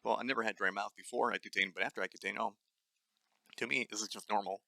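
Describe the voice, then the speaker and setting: A man's voice: on the low side, fairly even intonation, fast, creaky, pushed and bright, no room sound. A young adult man hosting his own nutrition podcast, speaking blunt and angry with a dry voice, swearing often and stumbling with fillers. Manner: many fillers and restarts. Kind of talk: solo ranting monologue.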